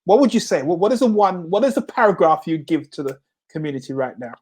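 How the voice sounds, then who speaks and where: A man's voice: fairly high pitch, big pitch swings, quick pace, a little mellow, husky. A middle-aged man from Jamaica hosting a casual talk show, often repeating words as he starts sentences and turning phrases into slogans. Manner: stammering repeats, laughs often, emphatic slogans. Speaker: host. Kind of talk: casual chat.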